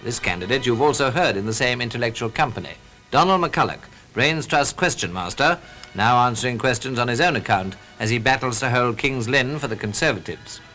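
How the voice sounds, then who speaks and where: A man's voice: medium pitch, animated, a little fast, bright, forceful tone. 1940s British newsreel narrator, male, over music. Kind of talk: scripted 1940s newsreel commentary.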